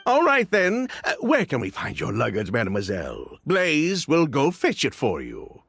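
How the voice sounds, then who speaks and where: A man voicing a genteel, chatty and effusive gentleman in a video game, with a theatrical, polite manner. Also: mid-range voice, wildly swooping pitch, moderate pace, gravelly, breathy edge, no room sound, full character voice. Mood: polite, bossy.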